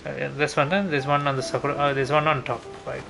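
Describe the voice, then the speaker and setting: A man's voice: fairly high pitch, level tone, quick pace, vocal fry. Man casually commentating over game music. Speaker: game streamer. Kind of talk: casual gameplay commentary, thinking aloud. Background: video game music.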